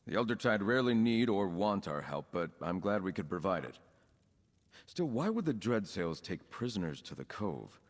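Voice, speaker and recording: An actor playing an earnest, composed young nobleman in a video game, speaking in a formal, measured way. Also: deep voice, sing-song, long reverb tail, heavily acted. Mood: concerned, courteous. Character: young nobleman, count's son with druid leanings, earnest and composed. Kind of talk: scripted video game dialogue.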